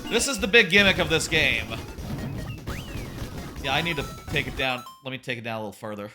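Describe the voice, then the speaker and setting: A man livestreaming a game, talking casually in a loud voice that rambles and corrects itself, sitting about an arm's length from his microphone. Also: mid-range voice, animated, fairly quick, over music. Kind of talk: casual live gameplay chat.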